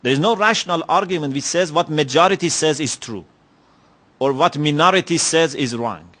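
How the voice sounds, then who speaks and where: A man's voice: on the high side, a bit bright. Reflective man lecturing. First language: Persian. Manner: measured, reflective, with many pauses and "you know" fillers. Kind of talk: religious lecture. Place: lecture hall.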